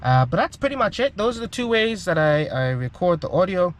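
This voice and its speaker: A man's voice: sits high, sing-song, brisk. South African man giving an informal tutorial. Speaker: aviation channel host. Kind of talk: informal spoken tutorial, demonstrating gear.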